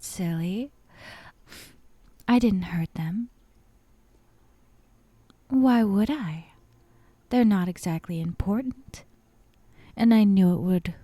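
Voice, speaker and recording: A woman voicing a possessive, dominant yandere captor in an ASMR roleplay, breathy and often whispering, sweetly menacing and coy, close to the microphone. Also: medium pitch, dark tone, no room sound. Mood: dismissive. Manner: slow, intimate, teasing, coy.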